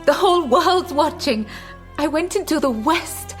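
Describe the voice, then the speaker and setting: High-voiced, defiant grieving mother. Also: animated, a little slow, faint music behind. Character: grieving, defiant East German mother yearning for freedom. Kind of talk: radio drama acting.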